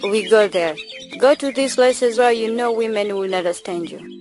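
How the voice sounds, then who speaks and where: A woman playing a proud, sharp-tongued young village beauty who argues back in an amateur student drama. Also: medium pitch, normal speed, over music, natural voice. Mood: defiant. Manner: argumentative, retorting.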